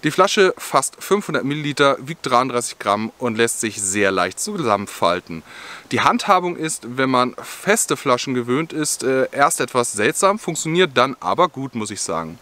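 A young man with a bright, clear-edged voice talks through camping gear in a friendly, explanatory way. He is filmed outdoors in a forest, speaking to the camera as the channel's host. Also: medium pitch, very animated, moderate pace. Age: young adult. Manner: friendly, explanatory, with scripted-sounding product details. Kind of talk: gear review vlog.

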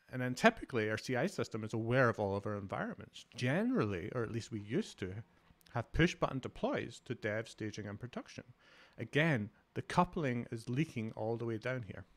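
A Scottish man from Glasgow in his thirties, giving an enthusiastic, conversational conference talk over a video call. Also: mid-range voice. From Scotland. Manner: enthusiastic, conversational, many fillers. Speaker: conference speaker, developer advocate.

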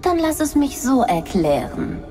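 A woman's voice: high voice, very animated, measured pace, mellow, in a large hall. An actress playing a mocking blonde woman who claims to be the original, speaking in a taunting, condescending way. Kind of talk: audio drama acting.

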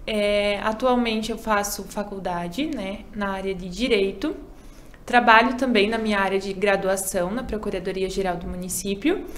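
A woman's voice: medium pitch, moderate pace. A 21-year-old Brazilian woman introducing herself in a friendly, composed way. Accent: Brazilian Portuguese. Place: office studio. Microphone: desk mic on stand.

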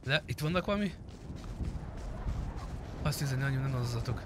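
A man's voice: mid-range voice, animated. A man giving casual live commentary on a roleplay game, chatting in slang and swearing as he addresses his viewers and friends. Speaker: game streamer. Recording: clearly noisy background.

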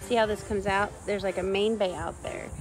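A woman in her thirties chatting excitably and encouragingly into a handheld camera outdoors by the water, in a casual vlog. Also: medium pitch, moderate pace. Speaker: vlogger. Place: outdoors by a bay, dock and water. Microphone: handheld phone or action camera. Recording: music behind, outdoor handheld recording.

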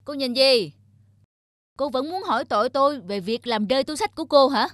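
A man's voice: very high-pitched, expressive, brisk, fairly bright tone, dry recording. A man voicing an irritable, self-important young passenger in a cartoon, brusque and argumentative. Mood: irritated, arguing. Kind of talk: cartoon character acting.

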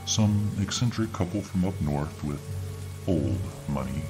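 A man narrating a scripted spooky audio story in a slow, deliberate way, with music behind him. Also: low-pitched, expressive. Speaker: ASMR storyteller. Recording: narration over music and rain sounds.

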